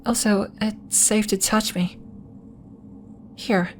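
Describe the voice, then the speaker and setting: A woman playing a gentle, earnest alien healer from the moon in an ASMR roleplay, speaking in a soft, measured, formal and reassuring way. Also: medium pitch, steady tone, slight background noise, natural voice. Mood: gentle, instructive. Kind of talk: ASMR roleplay, scripted character acting.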